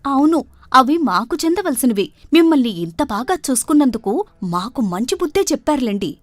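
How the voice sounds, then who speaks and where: A woman's voice: sits high, very animated, moderate pace, no room sound, voice pushed up. A woman voicing a scheming, grasping young daughter-in-law in a storybook tale, with an emphatic manner. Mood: indignant, bickering.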